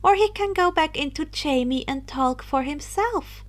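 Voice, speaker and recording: Woman as a high, whimsical young witch. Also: lively intonation, a little slow. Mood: gentle, motherly. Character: whimsical, affectionate, teasing teenage witch girl. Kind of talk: audiobook character voice.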